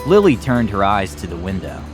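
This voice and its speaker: A man's voice: lowish voice, big pitch swings, fairly quick, soft background music. A man narrating a children's storybook expressively, as a storytime reader. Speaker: storytime reader, music club teacher.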